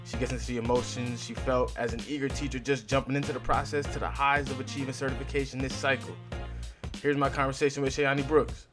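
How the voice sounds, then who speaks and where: A man hosts an interview podcast, asking friendly questions at a quick clip. His voice is mid-pitched. Soft music sits faintly underneath. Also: level tone. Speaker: podcast host.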